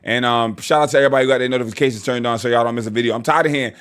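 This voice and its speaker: A man's voice: mid-range voice, very fast, clear, resonant tone. A man hosting a reaction video, joking and swearing in casual commentary. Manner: joking, animated, profane.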